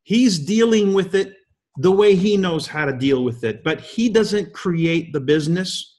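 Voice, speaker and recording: A man's voice: high voice, expressive, unhurried, a little mellow. A man in his fifties, guest-hosting a leadership call, giving a motivational talk full of emphatic rhetorical questions. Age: 50s. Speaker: guest host.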